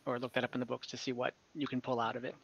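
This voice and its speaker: A young man as dungeon master on a video call, relaxed and rambling with many fillers. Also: medium pitch, very fast, vocal fry, slight background noise. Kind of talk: running an online tabletop game. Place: home room. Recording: slightly dull video-call audio.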